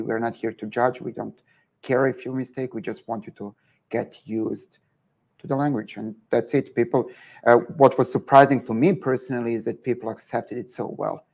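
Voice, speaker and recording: A man's voice: medium pitch, gravelly, darkish tone. A man with a Serbian accent, a guest entrepreneur in an online interview, giving earnest advice with many filler words.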